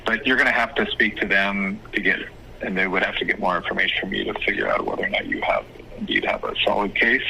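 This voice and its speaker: A man speaking as an attorney, giving advice on a phone call in a measured, hesitant, explanatory way. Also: on the low side, steady tone, vocal fry, sharp tone. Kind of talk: phone consultation, casual advice. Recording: phone call with faint noise.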